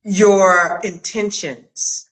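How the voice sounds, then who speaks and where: A woman hosting a casual interview chat, talking in an expressive, emphatic way. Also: mid-range voice, drawn-out words, poor audio. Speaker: host, acting coach and writer. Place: remote video call.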